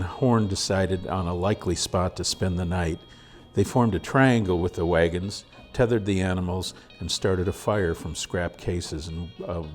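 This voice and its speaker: A man reading aloud his own frontier story as a steady narrator. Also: low voice. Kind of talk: audiobook narration.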